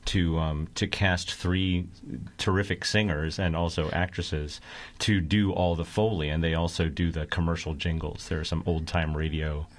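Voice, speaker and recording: Middle-aged man, deep pitch, informal, easygoing, radio interview guest, broadcast microphone. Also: steady tone, moderate pace. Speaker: stage actor, interview guest. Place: small radio studio. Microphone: boom-mounted broadcast microphone.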